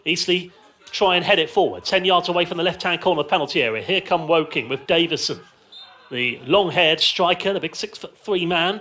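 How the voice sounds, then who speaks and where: A man's voice: a little high, bright, forceful tone. A man giving live radio football commentary from a stand, describing play in short, clipped phrases. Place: outdoors in a football ground stand.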